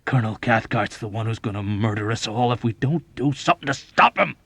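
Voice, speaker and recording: Man acting a hoarse, belligerent airman, wildly excitable. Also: medium pitch, fairly quick, no echo, exaggerated voice. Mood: complaining. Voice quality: hoarse, thick. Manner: shouting, pleading, agitated. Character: belligerent sneezy young airman, desperate, frantic and paranoid.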